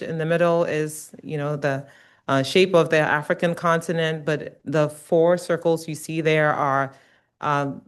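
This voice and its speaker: A middle-aged woman from Ghana delivering a lecture. Her voice sits low and comes through clean and resonant. She talks earnestly, often pausing on "um" and "uh" as she goes. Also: smooth. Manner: warm, earnest, with frequent um and uh fillers. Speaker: guest lecturer, nursing researcher. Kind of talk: academic lecture.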